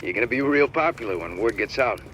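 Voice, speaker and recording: An actor playing a practical, casual technician at a base in a video game. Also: male voice, medium pitch, animated, quick pace, fairly bright tone, slight background noise, close to an everyday voice. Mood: impressed. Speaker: game character.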